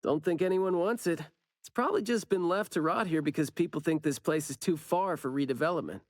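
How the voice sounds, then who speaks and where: An actor playing a youthful, easygoing, inquisitive investigator in an anime game, talking casually and expressively. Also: male voice, fairly high pitch, a little fast, dry recording, clean studio sound, close to an everyday voice. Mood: curious, casual. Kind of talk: conversational anime game dialogue.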